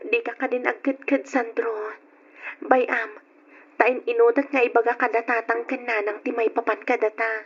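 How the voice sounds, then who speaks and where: Radio drama young Ilocano daughter and girlfriend: high-pitched, earnest, reassuring, on a narrow, boxy recording. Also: flat delivery, moderate pace, husky. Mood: earnest, persuading. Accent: Filipino, Ilocano. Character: young woman, dutiful daughter, soothing her boyfriend. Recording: narrow-band old radio drama sound.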